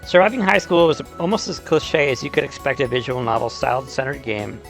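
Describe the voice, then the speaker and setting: Gravelly-edged man narrating a casual video essay. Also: mid-range voice, a little fast, a bit bright, soft background music. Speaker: YouTube host.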